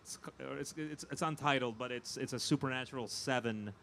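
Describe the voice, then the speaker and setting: A man being interviewed as a film producer, chatting casually and haltingly, often repeating his words. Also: mid-range voice, bright, forceful tone, slight background noise.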